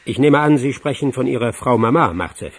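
An actor playing a cynical, impatient criminal on the telephone in a radio crime drama, sardonic in manner. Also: male voice, medium pitch, expressive, a little fast, slightly rough, dry recording.

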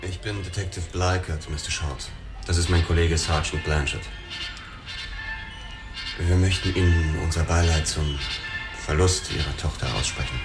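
A polite, formal detective, voiced by a man in a radio drama. His voice is low and restrained, with loud music running underneath. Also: fairly even intonation, moderate pace, pauses often, close to an everyday voice.

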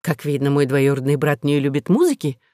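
A woman's voice: low-pitched, fairly quick, dark tone, no echo, studio quality, natural voice. A woman voicing a proud, hot-tempered young noblewoman who asks pointed questions in an audiobook novel. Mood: indignant.

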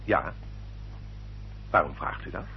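An actor playing a courteous, self-justifying English baronet in a radio drama, with a formal, polished and elaborately polite manner. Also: male voice, slow pace, lightly acted. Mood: smoothly self-justifying. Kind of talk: old radio drama acting. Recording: dull old radio recording, faint hum.

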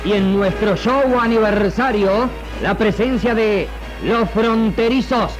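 A showy vintage broadcast announcer. He speaks very slowly in a slightly high, expressive voice over clear music. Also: low-quality recording. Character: smooth, grandiose male advertising and show announcer. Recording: 1960s radio archive, music behind.

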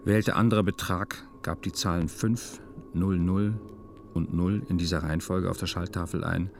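An actor playing a detached male narrator, reading a literary radio drama in a deadpan, meticulous, measured manner. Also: low voice, creaky, noisy background. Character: detached male first-person narrator of a melancholy story.

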